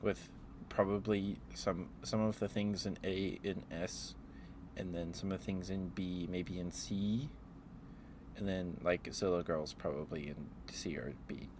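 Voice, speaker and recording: A man's voice: deep voice, measured pace, noisy background. A man streaming casual ASMR, rambling and hesitant as he chats.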